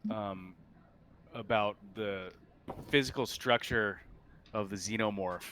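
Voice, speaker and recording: A man with a slightly deep voice runs a tabletop role-playing game. He narrates off the cuff and gives casual rulings, halting as he thinks aloud. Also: bright, forceful tone, a little hiss or hum.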